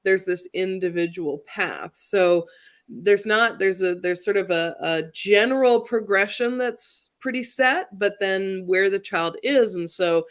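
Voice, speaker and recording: A woman's voice: medium pitch, unhurried. A woman hosting a livestream interview over a video call, speaking in a reflective, thoughtful way and asking open questions. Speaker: livestream host. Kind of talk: conversational interview.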